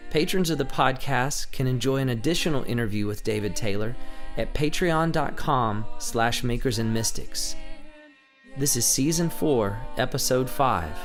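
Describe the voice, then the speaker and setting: A man hosting a podcast, reading a measured introduction and then interviewing a guest in an earnest way. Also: mid-range voice, expressive, soft background music. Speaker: podcast host.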